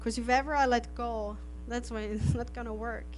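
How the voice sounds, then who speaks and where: A woman's voice: fairly high pitch, fairly even intonation, normal speed, slight background noise. A middle-aged woman from Austria, a native German speaker, giving an earnest missionary testimony with frequent laughs and restarted phrases. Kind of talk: testimony to an audience.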